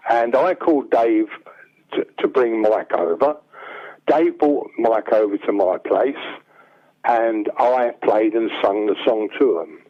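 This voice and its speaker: Old guy, deep bass baritone, phone line sound, rambly stories. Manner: rambling anecdotes, acts out quoted dialogue. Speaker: songwriter interview guest. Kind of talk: casual storytelling. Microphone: telephone.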